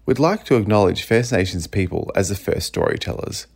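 A man's voice: deep voice, animated, fairly quick, vocal fry, husky. A man with an Australian accent, reading a scripted podcast announcement. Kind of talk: scripted acknowledgement read.

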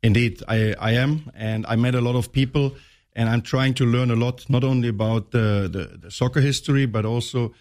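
Man, German accent, polite, measured answers, some hesitant fillers. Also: medium pitch, fairly even intonation, darkish tone. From northern Germany. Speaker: soccer coach guest. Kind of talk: radio interview answers.

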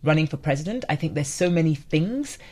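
A woman's voice: low voice, lively intonation, brisk, darkish tone. A woman in her fifties from Nigeria, a guest in a conversational interview, talking reflectively with frequent restarts. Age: 50s.

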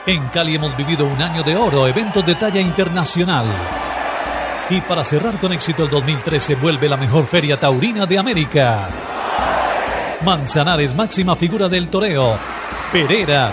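A man voicing a radio ad with punchy promo delivery. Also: on the high side. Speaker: ad announcer. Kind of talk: radio ad voice-over. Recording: loud music and crowd bed.

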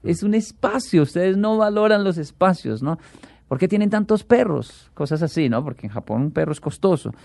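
A man, a talk show guest who tells animated stories and acts out the quotes. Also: high-pitched, normal speed, vocal fry, dry recording. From Colombia. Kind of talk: casual chat.

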